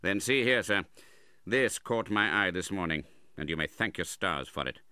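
Man playing a forceful, scornful old uncle. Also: low voice, expressive, moderate pace, smooth, no echo, clean studio sound. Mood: stern, brusque. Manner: contemptuous, impatient, forceful. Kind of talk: dramatised classic novel reading.

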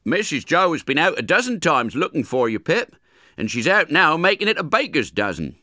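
A man's voice: fairly high pitch, big pitch swings, normal speed, bright, forceful tone, dry recording, pitched above the performer's own voice. A man plays a mild, kindly village blacksmith speaking in homely country phrases. The voice is lifted above the reader's own and sounds dry and close.

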